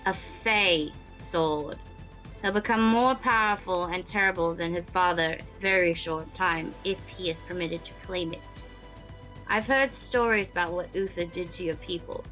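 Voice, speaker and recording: A woman's voice: lowish voice, normal speed, fairly bright tone, faint music behind, natural voice, poor audio. A woman playing an earnest, determined noblewoman in a radio play, speaking seriously and urgently. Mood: grave, urgent. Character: earnest, determined noblewoman seeking revenge and alliance.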